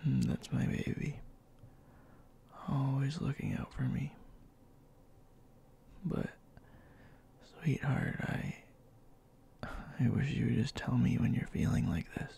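Man acting a gruff boyfriend in gravelly whisper. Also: medium pitch, full character voice. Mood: gentle, reassuring. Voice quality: breathy half-whisper, gravelly. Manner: soft, halting, intimate. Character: gruff, worried young boyfriend, tender and bossy. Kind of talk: ASMR boyfriend roleplay.

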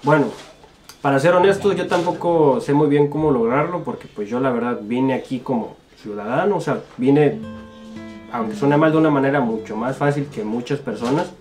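A man's voice: medium pitch, moderate pace, a little mellow, soft background music. A young Mexican man in his twenties, casually chatting and joking as he answers viewer comments in a small home studio. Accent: Mexican Spanish. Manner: jokey, laughs often, informal. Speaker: guest youtuber. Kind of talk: casual chat reading comments.

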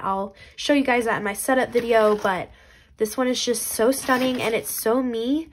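A young woman chats bubbly and casual at her desk, her voice a little stuffed up from a cold. Her voice sits fairly high, and she fills her talk with ums and likes. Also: slight background noise. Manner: bubbly, chatty, many fillers. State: congested from a cold. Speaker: planner vlogger. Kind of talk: casual chat, showing planners to camera. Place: indoors at a desk. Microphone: camera overhead, hands only seen.

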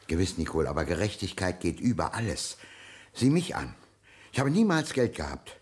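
A man's voice: sits low, big pitch swings, normal speed, slightly rough, full character voice. An actor playing an elderly, stern, guilt-ridden grandfather and judge in a radio drama, speaking with righteous insistence. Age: older. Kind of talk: German radio drama acting.

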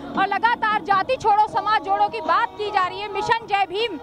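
A young woman news reporter speaking into a handheld mic on a busy city street, briskly prompting people with questions. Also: very high-pitched, steady tone. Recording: loud crowd noise outdoors.

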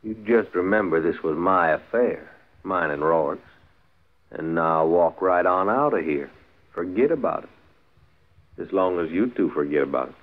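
Low-voiced menacing Western gunman. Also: animated, normal speed. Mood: cold, mocking. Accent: American. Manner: drawling, mockingly polite folksy threats. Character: menacing frontier gunman, coolly cruel killer. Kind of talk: 1950s radio western acting. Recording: muffled, phone-like 1950s radio recording.

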